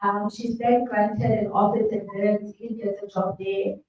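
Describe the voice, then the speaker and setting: A woman with a soft voice, a panelist summarizing a book in a measured, hesitant way with frequent ums. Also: mid-range voice, level tone, muffled recording, soft background music. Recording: echoey room, distant mic, faint hum.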